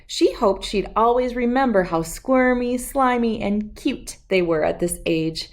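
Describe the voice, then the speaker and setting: A woman reading a storybook aloud for children as host, expressive and speaking to listeners as friends. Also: fairly high pitch, measured pace.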